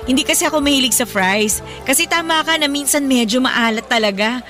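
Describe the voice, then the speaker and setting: A woman's voice: sits high, brisk, sharp tone, soft background music. An actress playing a kind, supportive young woman in a radio drama, chirpy and encouraging, with a Filipino accent. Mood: playful, grateful.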